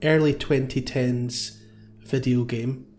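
A man's voice: medium pitch, fairly even intonation, slow pace, darkish tone, slight background noise. A man speaking casually as a panelist on a comedy game show podcast. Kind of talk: casual comic banter.

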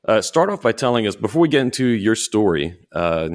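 A man's voice: fairly low pitch, sing-song, brisk, no echo. A man hosting a podcast interview in a conversational way, often restarting his phrases as he talks. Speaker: podcast host.

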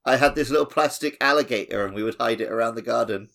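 A man's voice: medium pitch, fast talker, creaky, a bit bright. A man co-hosting a podcast in casual chat, telling anecdotes in a jokey, self-deprecating way. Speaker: podcast co-host.

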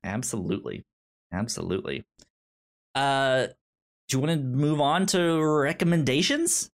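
A man co-hosting a podcast, chatting casually about film in a halting way, often stammering and repeating words. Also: medium pitch. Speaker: podcast co-host. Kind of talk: casual film review chat.

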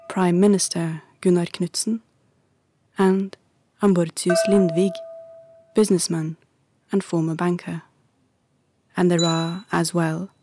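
A woman narrating a radio play in a calm, measured, scripted delivery. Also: fairly low pitch, background noise.

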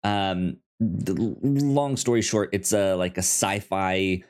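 Slow-paced, stammering man chatting about films. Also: low voice, a little mellow. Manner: halting, stammering with repeated words and syllables. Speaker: podcast co-host. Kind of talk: casual film discussion.

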